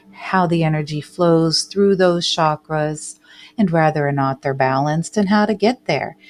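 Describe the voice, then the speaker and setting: A woman's voice: on the low side, a little mellow. A woman hosting a casual commentary show, reading news aloud and adding chatty tag questions, with light laughs between remarks. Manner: chatty, adds tag questions like "right?" and "okay?".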